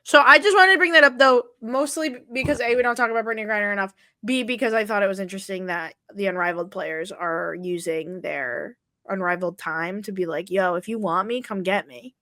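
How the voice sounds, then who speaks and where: A woman, co-hosting a casual sports podcast, slangy and quick to swear, a little wheezy. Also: medium pitch, pushed and bright, no room sound, clean studio sound. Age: 20s. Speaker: podcast co-host.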